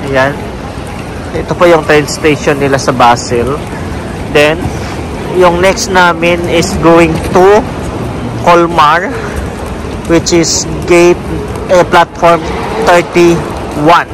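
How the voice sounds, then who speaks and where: A man's voice: on the high side, flat delivery, slow pace, pauses often. A man with a Filipino accent chatting casually as a travel vlogger, often addressing his viewers as he goes. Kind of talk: casual travel vlog chat. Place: out and about, streets and train stations. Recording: noisy outdoor and station background.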